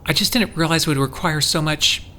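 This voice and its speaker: A man's voice: fairly high pitch, fairly quick, pushed and bright, a little hiss or hum. A man playing an anxious, lovestruck character with an earnest, hesitant manner in an audio drama. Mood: earnest, uneasy. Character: lovestruck, anxious young man with anger problems.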